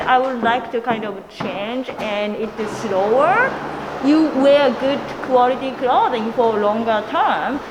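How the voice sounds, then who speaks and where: A woman with a Japanese accent, a native Japanese speaker, answering interview questions casually as a fashion designer. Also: a little high. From Japan, Chiba Prefecture. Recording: echoey reverberant hall, faint noise.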